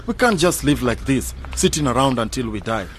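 A man's voice: mid-range voice, lively intonation, brisk, faint music behind, natural voice. A man acting in a radio drama as a stubborn, sceptical young graduate who is frustrated and argumentative. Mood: fed up, insistent. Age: young adult. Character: frustrated, stubborn young male sociology graduate set on emigrating.

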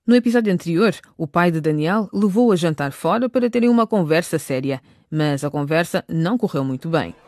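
A woman's voice: on the low side, expressive, brisk, hardly any pauses, a little mellow, no room sound. Dry-sounding female radio drama narrator.

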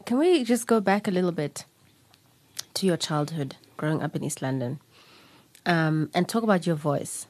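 A woman's voice: sits low, expressive, moderate pace, pauses often, dry recording. A South African woman hosting an interview, asking probing questions in a conversational way. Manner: warm, probing questions, mixes in Xhosa phrases. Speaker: podcast host.

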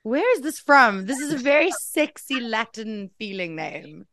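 A woman's voice: a little high, animated, unhurried, fairly bright tone, no room sound, studio quality. A woman interviewing a guest, bubbly and firing off several questions at once. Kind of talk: casual interview.

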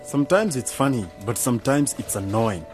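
A man's voice: mid-range voice, expressive, a little fast, soft background music, lightly acted. An actor playing an inquisitive, frank young man new to broadcasting in an educational radio drama. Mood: curious.

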